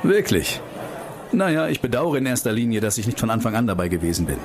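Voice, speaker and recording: A man's voice: medium pitch, sing-song, fast talker. A man playing an ambitious investigator in a radio play, politely combative, with pointed and sardonic questioning. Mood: sardonic, probing.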